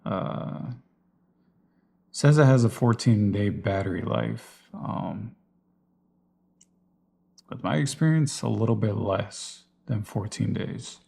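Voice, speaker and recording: A man's voice: lowish voice, expressive, slow, creaky, long pauses, a little mellow. A man giving a casual, unscripted walkthrough of his gear in a plain, explanatory way, like a vlogger. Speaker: vlogger presenting personal radio gear.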